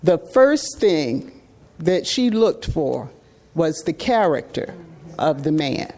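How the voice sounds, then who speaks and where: A woman panelist replying at a public event in a deliberate, measured and frank manner. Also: low voice, big pitch swings, mellow. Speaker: panel speaker.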